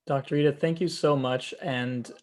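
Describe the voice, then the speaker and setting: A man, a rabbi, hosting an interview and speaking in a gentle, appreciative way. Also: medium pitch, steady tone, moderate pace. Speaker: rabbi and host. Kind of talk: interview conversation.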